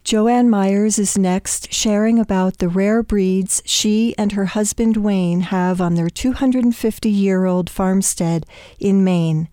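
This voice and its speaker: A woman's voice: medium pitch, slow, dark tone, dry recording, studio quality. A woman hosting an interview, reading scripted introductions and asking curious questions. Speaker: host.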